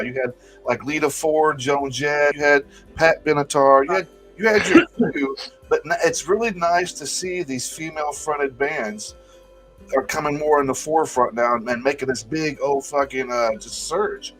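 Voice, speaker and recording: A man in his forties hosting a casual music chat show, animated and swearing freely, often repeating words as he talks. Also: mid-range voice, low-quality recording. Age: 40s. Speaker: show host.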